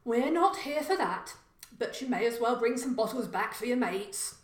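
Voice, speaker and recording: A woman's voice: fairly high pitch, lively intonation, moderate pace, echoey room, pitched above the performer's own voice, natural voice. A woman voicing a confident, burly middle-aged burglar father who gives blunt orders in a crime story. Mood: indulgent.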